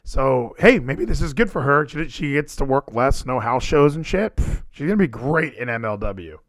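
A man talking casually and animatedly as a podcast co-host, full of quick asides. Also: medium pitch, sing-song, no room sound. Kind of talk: casual wrestling chat.

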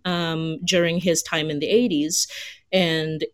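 A woman's voice: low-pitched, level tone, slow pace, dry recording. A woman from the Philippines speaking casually as a writer on a panel, informal and thinking aloud. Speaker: panel guest, audio drama writer.

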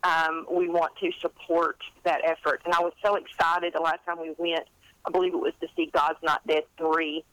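A woman in her fifties telling stories in a chatty, animated, rambling and self-deprecating way, as an interview guest. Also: deep voice, moderate pace, no echo, rough recording. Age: 50s. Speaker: guest author and college English teacher.

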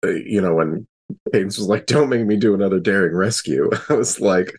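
A man talking casually as a podcast co-host and game master, in a halting, self-interrupting way. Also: low-pitched, breathy edge. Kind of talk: casual panel chat.

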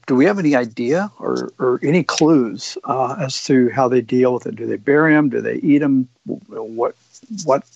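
A man with a gravelly voice talks loosely, rambling through fillers and restarts. His sound is dull and dry. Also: mid-range voice, a little mellow, no room sound. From Oregon. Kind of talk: casual discussion.

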